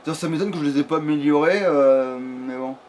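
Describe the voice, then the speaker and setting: A man's voice: fairly high pitch, a little fast. A man giving casual gaming commentary, muttering to himself hesitantly and sometimes swearing. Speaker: let's play gamer. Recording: steady background noise.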